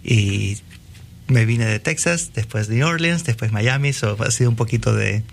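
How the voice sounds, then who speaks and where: A man's voice: expressive, measured pace. A man with a Venezuelan accent, talking casually as a guest in a radio interview. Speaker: peer support team leader, show guest. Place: radio studio. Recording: clear low rumbling background noise.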